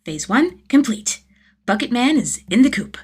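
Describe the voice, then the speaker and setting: A woman's voice: a little high, sing-song, moderate pace, breathy edge, pitched above the performer's own voice, exaggerated voice. A woman voicing a nervous but determined nine-year-old boy who reports like a pretend soldier in a storybook reading. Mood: excited, conspiratorial. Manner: dramatic mock-military reporting.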